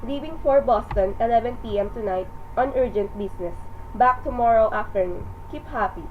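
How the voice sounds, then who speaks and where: A woman's voice: fairly high pitch, normal speed, slight background noise. Woman acting a businesslike telegram clerk, tinny phone sound. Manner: clipped, formal, impersonal. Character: businesslike female telegram office clerk, coolly formal. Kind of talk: radio drama acting, dictating a telegram.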